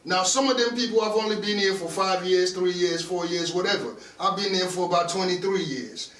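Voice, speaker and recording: Blunt high-voiced man from Nigeria. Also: a little fast, a bit bright, a little hiss or hum. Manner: blunt, profane, emphatic. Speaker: podcast guest. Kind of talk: casual chat. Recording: echoey room, distant mic.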